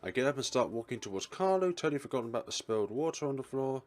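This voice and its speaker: A man narrates a visual novel for a let's play. His pitch is middling and his intonation very animated. Faint music plays behind him. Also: very fast.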